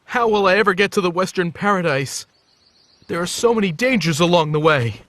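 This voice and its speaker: A man voicing a timid, worried monk in a children's animated story, with an anxious, plaintive manner. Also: high voice, a little fast. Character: timid, worried male monk, gentle and helpless.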